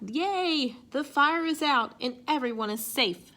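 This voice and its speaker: A woman reading a children's picture book aloud in an expressive way. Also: high-pitched, a little slow. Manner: expressive, acts out sound effects and exclamations. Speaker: storybook reader.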